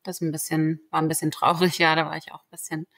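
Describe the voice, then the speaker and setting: Young woman guest, turkish first language, low-ish voice, easygoing chatty answers. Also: fast talker. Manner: relaxed, chatty, full of fillers like "genau". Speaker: interview guest, lawyer. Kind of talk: casual conversation.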